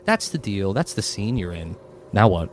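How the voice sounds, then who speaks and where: A man's voice: fairly low pitch, big pitch swings, moderate pace, a little hiss or hum. A man narrating a tabletop game as game master, improvising with informal, offhand asides.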